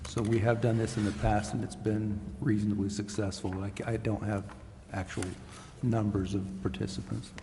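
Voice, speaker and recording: American guy at a council meeting, creaky voice, pretty flat, picks his words carefully. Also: fairly low pitch. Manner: measured, hesitant, careful phrasing. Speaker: city official advising a council. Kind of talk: formal public meeting talk. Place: council chamber. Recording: echoey hall, faint background noise.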